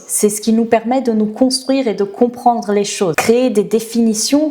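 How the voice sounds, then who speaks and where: A woman from France speaks with her native French accent, at a middle pitch with a warm, mellow timbre. She explains things like a language teacher and keeps putting rhetorical questions to herself before she answers them. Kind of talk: explanatory talk to camera.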